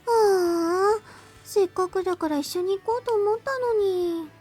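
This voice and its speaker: A woman's voice: extremely high pitch, fairly quick, darkish tone, soft background music. A woman playing an eager, polite, easily flustered teenage girl in a visual novel. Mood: disappointed. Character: eager, pushy teenage girl, younger schoolmate. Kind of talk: Japanese visual novel voice acting.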